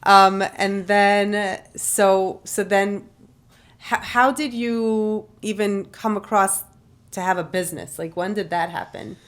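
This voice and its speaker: A woman from Israel hosting a podcast, speaking casually and warmly with lots of fillers. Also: mid-range voice, fairly even intonation, slow pace. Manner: warm, rambling, lots of "like" and "you know". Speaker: podcast host. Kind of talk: casual conversational interview.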